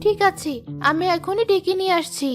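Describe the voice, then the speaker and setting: A woman's voice: very high-pitched, normal speed, over music. A woman voicing an anxious young village wife and mother in a cartoon moral tale, with a fretful manner. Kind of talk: animated moral story voice acting.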